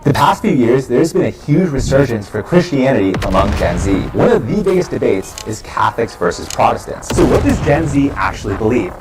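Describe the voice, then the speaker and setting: A man hosting a video, delivering a scripted, energetic introduction. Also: medium pitch, a little fast, few pauses, distant mic, music in the background, poor audio. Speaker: video host, narrator.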